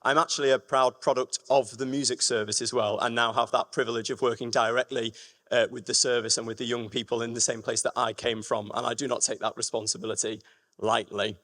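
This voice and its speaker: A man's voice: mid-range voice, level tone, brisk, pushed and bright. A man from gives a public presentation, addressing the room directly with emphatic phrasing. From Lancashire, England. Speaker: music education manager giving a talk. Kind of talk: public presentation to a live audience. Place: large reverberant room at a live event. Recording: echoey hall with long reverb.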